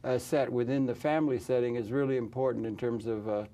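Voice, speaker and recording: A man hosting a conversational interview, asking rambling, halting questions that tend to trail off. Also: medium pitch, steady tone, mellow. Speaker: talk show host.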